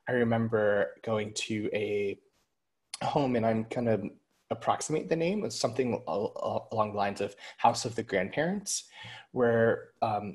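A young man wearing a headset on a video call, telling a story in a relaxed way. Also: lowish voice. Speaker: panelist. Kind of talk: casual panel talk. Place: home room, indoors.

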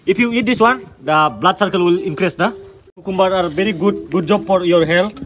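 A man's voice: high voice, a little fast, music in the background. A young man from Northeast India hosting his own birthday vlog, joking in broken English and often tagging "na?". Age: young adult. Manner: jokey, broken English, tag "na?". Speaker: vlogger, birthday host. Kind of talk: casual comedic chat. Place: open grassy field outdoors.

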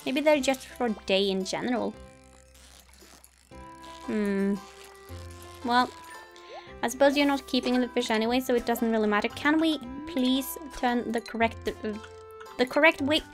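A woman's voice: a little high, unhurried, long pauses. A woman giving casual, polite gameplay commentary as a let's play host, chatting to herself and the game. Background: game music.

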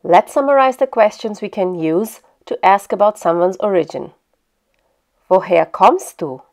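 A woman narrating a scripted language lesson. Her delivery is clear, steady and instructional, with a middle-pitched voice. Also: a little slow.

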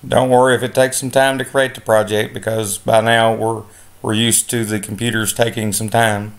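A man giving a step-by-step software lesson over a screen recording, relaxed, with many filler sounds. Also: lowish voice, normal speed. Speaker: instructor.

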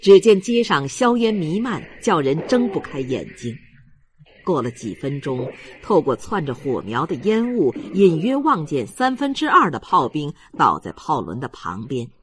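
A woman's voice: low-pitched, sing-song, moderate pace, slight background noise. A woman reading a novel aloud as an audiobook narrator in a scripted storytelling style.

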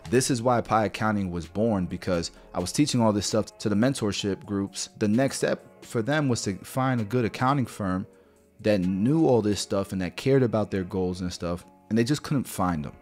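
A man in his thirties with a lowish voice talks straight to camera through a close podcast mic. He explains money matters bluntly and keeps calling his viewers "guys". Soft music plays faintly underneath. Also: normal speed. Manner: direct, blunt, repeats "guys" and "right". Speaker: barber business mentor. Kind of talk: whiteboard finance lesson to camera. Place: small home studio indoors. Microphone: podcast mic near mouth.

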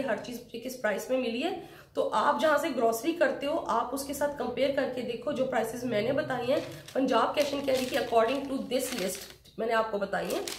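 A woman's voice: sits high, roomy sound, a little hiss or hum. A middle-aged woman chatting through a shopping vlog, explaining prices step by step. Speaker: vlogger. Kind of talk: casual shopping vlog chat. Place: home room with shelves, indoors.

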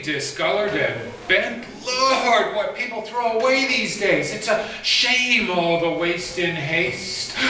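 A middle-aged man performing as a poet at a live reading, speaking with deliberate, theatrical phrasing. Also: high voice. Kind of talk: theatrical live poetry recital with spoken introduction. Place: small indoor performance space. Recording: echoey, noisy room, distant live pickup.

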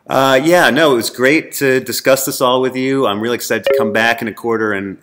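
A man's voice: medium pitch, fairly even intonation, a little fast, fairly bright tone, close to an everyday voice. A man in his thirties playing a pompous, irritable, easily flustered startup boss in a comedy, speaking in an office. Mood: evasive. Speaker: actor as startup chief executive. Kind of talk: scripted mockumentary comedy, livestream address. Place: open-plan office, indoors.

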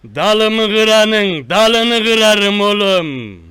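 Prank-call menace: man playing a boastful country tough guy, high bright voice, unhurried threats. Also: expressive, clean tone, no room sound, full character voice. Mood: menacing. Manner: slow drawn-out threats, repetitive. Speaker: prank caller. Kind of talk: one-sided prank call.

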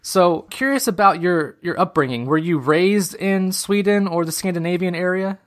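A man's voice: sits high, normal speed. A man hosting a podcast, reading an introduction and then chatting in a friendly, easygoing way. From United States. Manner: friendly, easygoing, laughs often. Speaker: podcast host. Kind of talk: scripted intro then casual interview.

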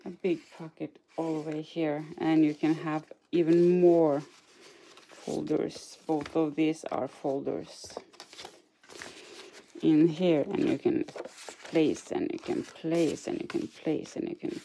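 Slow, mellow Norwegian woman showing crafts. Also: low voice, level tone, creaky, a little hiss or hum. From Norway. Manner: chatty, hesitant, apologetic, giggles now and then. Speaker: craft channel host. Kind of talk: casual show-and-tell of a scrapbook album. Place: indoors at a craft table.

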